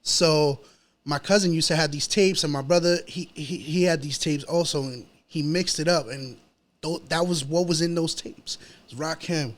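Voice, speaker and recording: A man with a New York accent chatting casually as an interview guest, slangy and pausing as he goes. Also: a little high. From New York City, United States.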